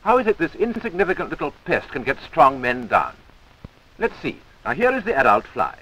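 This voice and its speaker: A man's voice: fairly high pitch, big pitch swings, normal speed, fairly bright tone, slightly rough, low-quality recording. A British man narrating a wartime-era army training film in a wry, chummy manner, on a 1940s film soundtrack.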